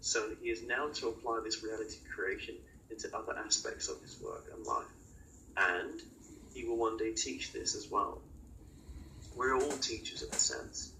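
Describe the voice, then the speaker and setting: Guy channeling spiritual guidance, bright forceful voice, lots of pauses, short oracular phrases, roomy and a bit far from mic. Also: on the low side, expressive. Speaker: channeled guide speaking in a session. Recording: roomy, distant mic with clear noise.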